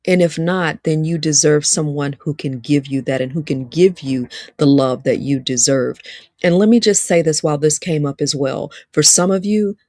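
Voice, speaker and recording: A woman's voice: deep voice, darkish tone, dry recording, clean studio sound. Emphatic Alabama woman in her fifties. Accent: Southern US, Alabama. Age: 50s. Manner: emphatic, preacher-like, repeats lines for stress. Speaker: relationship speaker. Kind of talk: livestreamed motivational talk.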